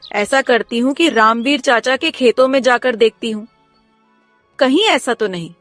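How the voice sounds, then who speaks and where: A woman voicing a sharp-witted, scolding village wife in a cartoon story. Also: a little high, animated, moderate pace, no room sound, soft background music. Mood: suspicious. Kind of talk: Hindi cartoon story dialogue.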